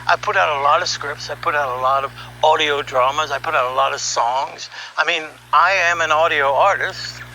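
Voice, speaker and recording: A man giving an opinionated, conversational monologue as a podcast host, sardonic and animated, throwing out rhetorical questions. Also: a little high, moderate pace, hardly any pauses, slight background noise, low-quality recording. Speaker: podcast host and audio artist. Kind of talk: opinionated monologue, reading article excerpts aloud.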